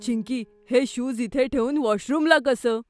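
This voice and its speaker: A woman's voice: high voice, unhurried, a little mellow. A woman voicing a curious, polite young boy in a children's cartoon. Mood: curious, worried. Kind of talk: cartoon voice acting. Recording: music under the voice.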